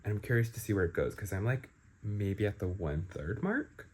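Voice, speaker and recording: Lower-pitched man, casual and emphatic vlogger. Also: expressive, a little fast, darkish tone, slightly rough. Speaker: book vlogger. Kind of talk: casual live reading-reaction vlog.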